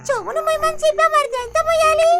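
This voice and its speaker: A performer voicing a small, flustered cartoon animal with a shrill, fretful, squeaky delivery. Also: fairly quick, heavy reverb, distant mic, over loud music. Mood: exasperated. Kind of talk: cartoon dubbing in Telugu.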